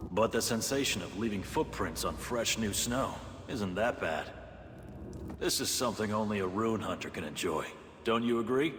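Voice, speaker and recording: An actor playing an easygoing, steady male companion in a fantasy video game. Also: on the low side, noisy background, close to an everyday voice. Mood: easygoing resolve. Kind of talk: video game cutscene acting. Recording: loud music behind.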